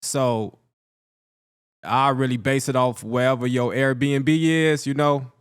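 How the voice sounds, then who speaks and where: A man's voice: mid-range voice, fairly even intonation, takes it slowly, clear, resonant tone, no echo, clean studio sound. A man hosting an interview, laid-back and encouraging, with lots of "you know". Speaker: interviewer host. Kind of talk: casual interview questions.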